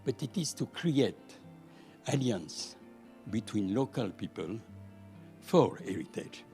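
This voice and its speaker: A man's voice: lowish voice, sing-song, takes it slowly, warm tone, faint music behind. A man explaining his advocacy work, stressing key words. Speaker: heritage advocate.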